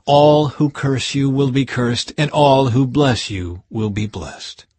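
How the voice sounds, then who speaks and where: Man doing an old blind father, solemn, slow and grave, husky voice, dry close sound. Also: mid-range voice, darkish tone, no echo, pitched above the performer's own voice.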